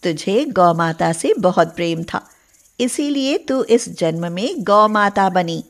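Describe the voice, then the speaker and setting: A woman playing a protective, commanding mother goddess in an animated devotional tale, solemn and maternal in manner. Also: fairly low pitch, slow pace. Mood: tender, protective. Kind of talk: animated devotional story acting.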